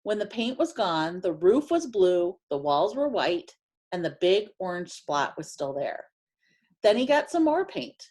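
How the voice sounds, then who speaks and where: Female storybook reader, very lively pitch, emphatic delivery aimed at young children. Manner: animated, emphatic storytelling with drawn-out phrases. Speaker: teacher reading aloud to children. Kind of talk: expressive picture-book read-aloud.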